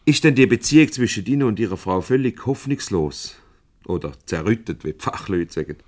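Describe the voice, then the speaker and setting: An actor playing a self-assured, probing company director with a measured, jovially formal manner in a Swiss German radio comedy. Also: male voice, on the low side, expressive, a little mellow. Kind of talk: 1970s comedy radio play acting.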